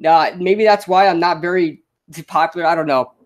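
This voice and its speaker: A young man hosts his podcast with no script, his thoughts racing ahead of his mouth. His voice sits a bit high for a man. A slight stutter makes him restart his sentences. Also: fairly even intonation. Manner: rambling, quick-thinking, frequent restarts. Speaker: podcast host. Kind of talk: casual unscripted advice monologue.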